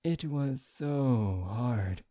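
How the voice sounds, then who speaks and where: Someone playing a very slow-talking, smug vampire. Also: medium pitch, lively intonation, mellow, no room sound, studio quality. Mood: smug, teasing. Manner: theatrical, drawn-out, coaxing delivery with mock politeness. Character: smug, teasing high-ranking vampire, playfully menacing and possessive. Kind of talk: ASMR roleplay acting.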